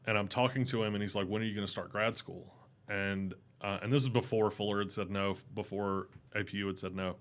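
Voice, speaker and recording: Man, loud voice that carries, animated storytelling, fairly low pitch. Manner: animated, rising in pitch when excited. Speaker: podcast guest. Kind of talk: casual storytelling interview.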